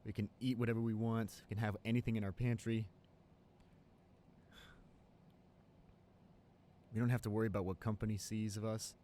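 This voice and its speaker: A man playing an excited young college boyfriend in an improvised ASMR roleplay, gushing and halting, repeating himself in sentimental amazement. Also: fairly low pitch, a little hiss or hum, natural voice. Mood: carefree, excited.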